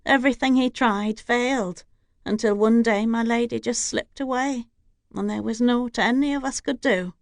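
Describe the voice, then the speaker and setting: A woman's voice: on the high side, sing-song, normal speed, no room sound. A woman voicing a loyal old-fashioned housekeeper. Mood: earnest. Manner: deferential to the lord, formal and old-fashioned speech. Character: older female housekeeper, devoted, stiff with outsiders.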